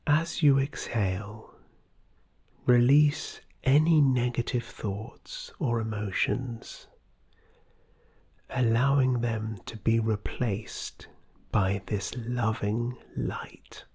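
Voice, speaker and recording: Very slow, husky, breathy meditation guide. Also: medium pitch. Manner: soft, soothing, breathy, often near whisper. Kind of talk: scripted guided meditation narration.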